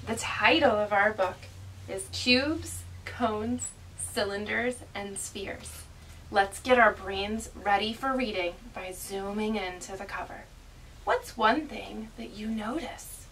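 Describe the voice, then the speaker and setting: A woman presenting an educational read-aloud for young children in an animated, exaggerated, child-directed way. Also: medium pitch, takes it slowly. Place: small indoor classroom inside a mobile learning vehicle. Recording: distant mic with faint room noise.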